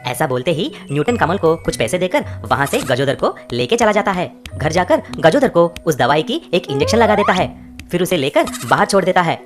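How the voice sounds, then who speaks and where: Low, lively woman storyteller. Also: normal speed. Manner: expressive storytelling. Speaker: narrator. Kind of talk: children's story narration. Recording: music bed behind.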